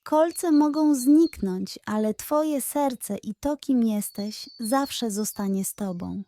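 A man's voice: very high-pitched, slow, dark tone, heavily acted. A woman voicing a playful, wise male fox who teases and reassures in a children's bedtime story. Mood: reassuring. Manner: teasing yet reassuring.

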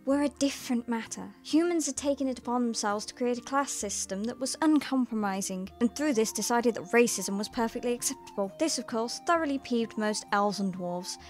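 A woman's voice: fairly high pitch, a little fast, hardly any pauses, faint music behind, close to an everyday voice. A woman playing a wry storyteller, reading scripted narration for a fantasy audio drama.

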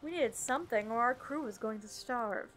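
A woman's voice: a little high, normal speed, fairly bright tone, faint music behind, close to an everyday voice. A woman playing a composed seafarer in a fantasy audio drama. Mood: earnest.

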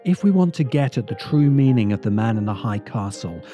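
A man reads a scripted video essay in a mellow, mid-range voice. He is measured and leans on key words with deliberate pauses. Faint music plays behind him. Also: medium pitch, lively intonation. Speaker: host and narrator.

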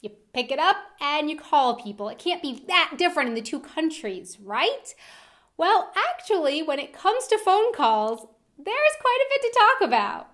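A young American woman presenting a vlog to camera in an expressive, emphatic manner. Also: high voice, normal speed. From United States. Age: young adult. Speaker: video host. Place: indoor room with decorated wall backdrop.

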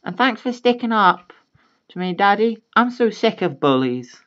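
A storyteller voicing a calm, gentle nine-year-old girl, on a slightly dull recording. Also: mid-range voice, moderate pace, voice pushed up.

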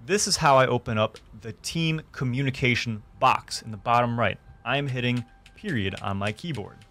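A young man hosting a gaming tutorial, explaining things clearly step by step from his streaming room. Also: mid-range voice, normal speed, fairly bright tone. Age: young adult. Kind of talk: tutorial narration. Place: small indoor room streaming setup.

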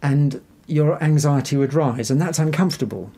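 A man being interviewed as an expert, explaining things in a gentle, reassuring and tentative way. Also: on the high side, normal speed. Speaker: psychiatrist, interview guest.

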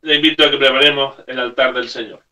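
A middle-aged man, a priest, giving a homily and prayers at Mass in earnest phrases broken by pauses. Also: medium pitch, steady tone, bright, forceful tone, recorded from a distance. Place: small room at home, indoors. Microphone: camera a few metres away.

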